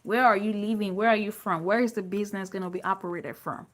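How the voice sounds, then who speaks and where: A woman's voice: mid-range voice, steady tone, fast. A woman with a Nigerian accent and a mid-range voice speaks as a business coach. Her delivery is informal and unscripted, like a live online class. She leans on key phrases and repeats them for emphasis.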